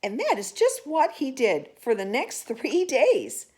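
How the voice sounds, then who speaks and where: A woman storyteller reading a children's picture book aloud expressively, pausing to ask the listener questions. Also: high-pitched, huge pitch swings, a little mellow, a little hiss or hum.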